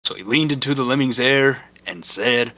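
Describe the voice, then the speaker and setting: A man's voice: medium pitch, normal speed, sharp tone. A man giving an amateur, dramatic comic reading of a story as narrator, stumbling now and then. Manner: stumbling, breaks into amused asides. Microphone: voice chat on a computer or headset mic.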